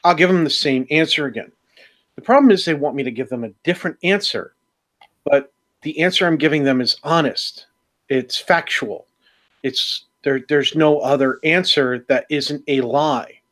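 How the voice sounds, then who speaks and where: A man's voice: on the high side, normal speed, a bit bright. A man co-hosting a casual podcast, ranting with sarcastic jokes. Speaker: podcast co-host. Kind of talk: casual round-table chat.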